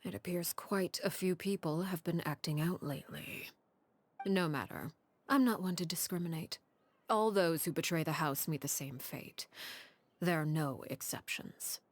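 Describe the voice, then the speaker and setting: A woman playing a cold, commanding leader of a secretive organization in a video game, speaking formally and with measured, polite menace. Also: low-pitched. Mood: cool, detached. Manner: formal, measured, calmly threatening. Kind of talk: dramatic video game acting.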